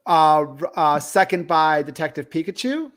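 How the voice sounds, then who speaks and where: A man hosting a casual group discussion about movies, talking haltingly and often restarting his phrases. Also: a little high, lively intonation, a bit bright. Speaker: podcast host.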